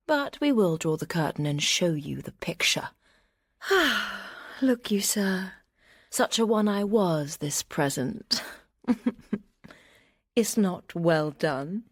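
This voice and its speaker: A haughty young lady of a great house, proud and sharp-witted. She speaks at a measured, leisurely pace with arch, teasing self-possession, in classical radio drama style. The studio sound is close and dry. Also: mid-range voice, husky, no room sound. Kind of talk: Shakespearean radio drama acting.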